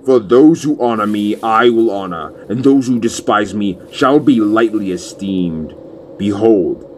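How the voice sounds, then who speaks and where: A man's voice: mid-range voice, a little mellow, a little hiss or hum, natural voice. A man playing a stern prophet delivering divine judgment in a solemn, declamatory way in a Bible audio drama.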